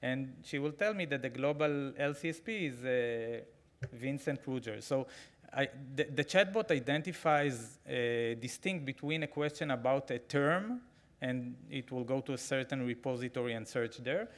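A man in his forties with an Israeli accent, giving a keynote talk with a live demo from a podium microphone, halting with frequent fillers and restarts. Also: mid-range voice, expressive. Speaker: conference keynote speaker. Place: large conference hall, at a podium.

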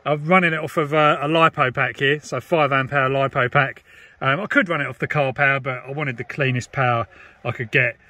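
A man's voice: medium pitch, steady tone, a little fast, sharp tone, no room sound. A man, talking casually as he demonstrates and chats on CB radio. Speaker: vlogger and CB radio hobbyist. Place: inside a parked car. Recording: clear background noise and radio hiss. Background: CB radio static and chatter.

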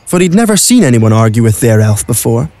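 A man's voice: mid-range voice, very animated, brisk, dark tone. A man narrating a scripted audio drama as the storyteller.

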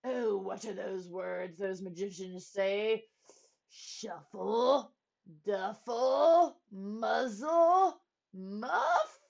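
A woman's voice: medium pitch, vocal fry, lo-fi sound, full character voice. A woman voicing a proud, blustering old storybook king who groans and bellows in a haughty way.

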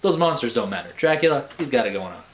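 A man with a mid-pitched voice reads children's stories in a playful, theatrical way, slipping into casual chat. The sound is roomy, like a home recording made a little way from the camera, with clear background noise. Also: animated, quick pace. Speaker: storyteller reading picture books aloud. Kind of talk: bedtime story reading with casual asides. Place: small furnished room at home, indoors.

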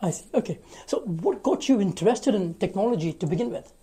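A man hosting a casual interview, asking friendly questions in a halting way with many fillers, filmed outdoors on a rooftop terrace on a phone held at arm's length. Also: high-pitched, expressive, warm tone, husky. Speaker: interview host.

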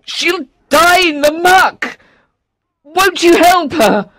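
A panicked father pleading for his daughter in a high, strained voice, as a storyteller puts him on. The voice rises well above the reader's own and comes across slow and dry. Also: lively intonation, a bit bright, dry recording, full character voice. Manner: desperate, pleading.

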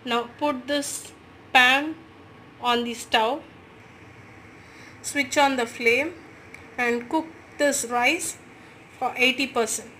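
A woman's voice: sits high, steady tone, slow, long pauses, pushed and bright, slight background noise. A woman, giving step-by-step recipe instructions as a voice-over in a home kitchen. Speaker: cooking channel host.

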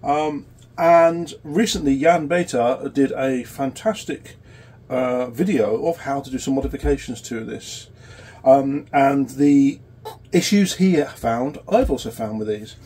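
A man in his forties with a cold and a sore throat, hosting a casual workshop tutorial in a small electronics workshop, explaining in a halting way with many fillers. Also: medium pitch, darkish tone, breathy edge. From United Kingdom. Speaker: electronics repair channel host.

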